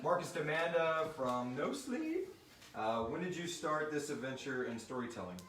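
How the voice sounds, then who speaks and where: Deep-voiced man hosting in an echoey room. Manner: joking, informal. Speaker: show creator and host reading out listener questions. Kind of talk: casual live Q&A chat. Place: room with a group at a live stream. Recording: roomy echo, distant mic, crowd behind.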